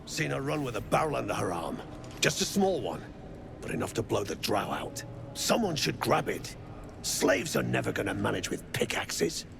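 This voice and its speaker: Greedy fantasy game thug: heavy rasping fry, animated delivery, rough taunting slang, music underneath. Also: male voice, medium pitch, normal speed, background noise. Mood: scheming. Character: menacing, greedy, crude mercenary slave-driver. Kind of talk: video game voice acting.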